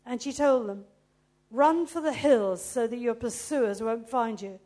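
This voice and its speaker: Woman preacher, loud chatty delivery, high voice, slow pace. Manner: chatty, loud, reads aloud. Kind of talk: sermon with Bible reading.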